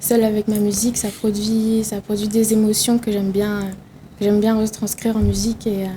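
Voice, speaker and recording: A woman's voice: medium pitch, flat delivery, brisk, warm tone, slight background noise. A young woman from Réunion, a musician guest answering interview questions thoughtfully, with fillers and restarts. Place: TV studio.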